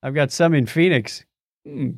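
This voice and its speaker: A man's voice: on the high side, moderate pace, creaky, no room sound, clean studio sound. A middle-aged American man co-hosting a casual tech podcast, chatting and telling stories in a jokey way. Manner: jokey, animated storytelling. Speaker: podcast co-host.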